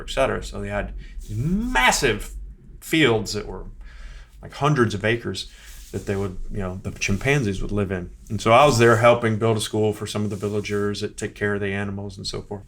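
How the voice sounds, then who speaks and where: Male podcast guest, low voice, animated conversational storyteller. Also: gravelly. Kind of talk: casual storytelling.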